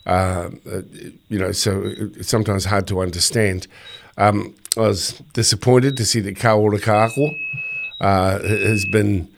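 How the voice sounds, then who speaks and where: A man hosts a talk radio interview in a slightly low voice. He asks probing questions in an easy, conversational way. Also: fairly even intonation, moderate pace, vocal fry, a little hiss or hum. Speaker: radio host.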